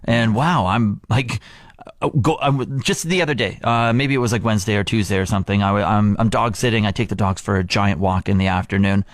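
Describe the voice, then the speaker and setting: A man's voice: on the low side, a little fast, no room sound, studio quality. A man in his thirties co-hosting a morning radio show, talking casually and rambling with plenty of laughs. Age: 30s. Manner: lively, rambling, laughs a lot. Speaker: radio co-host.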